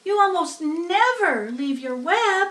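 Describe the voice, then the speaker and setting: Needy little boy pig done by a lady, pleading, storybook, high voice, echoey. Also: lively intonation, slow, a bit bright, exaggerated voice. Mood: puzzled. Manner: pleading, childlike. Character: anxious, pleading young male pig, needy and innocent. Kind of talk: children's storybook read-aloud. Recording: roomy echo, distant from mic.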